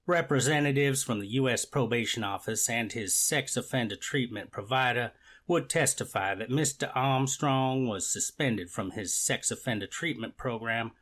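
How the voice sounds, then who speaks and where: A man's voice: mid-range voice, a bit bright, natural voice. A man playing a formal, methodical court official in a scripted readers theater courtroom drama. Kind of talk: amateur readers theater, scripted legal reading.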